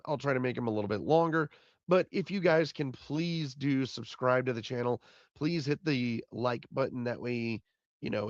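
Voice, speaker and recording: A man hosts a solo postgame recap, his voice raspy and hoarse from illness and gravelly at the edges. He rambles and repeats himself in a plain middle register. The recording is dry and a little muffled. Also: medium pitch, dry recording. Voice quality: raspy, fading voice. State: hoarse from being sick. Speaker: podcast host.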